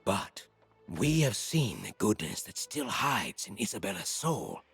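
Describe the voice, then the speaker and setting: An actor playing a grave, pious sage and mentor in a fantasy game, with formal, portentous delivery. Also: male voice, lowish voice, huge pitch swings, a little slow, creaky, slightly rough, heavily acted. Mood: grave, cautioning. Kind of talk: scripted fantasy video game dialogue. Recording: faint music behind the voice.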